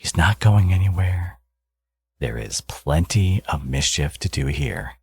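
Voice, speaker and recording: A man playing a smug, teasing supervillain and doting new father in an intimate ASMR audio roleplay, murmuring playfully and cockily in a breathy, gravelly voice. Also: deep voice, animated, measured pace, darkish tone, no echo, full character voice. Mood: teasing, reassuring. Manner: playful, cocky, murmuring to a lover.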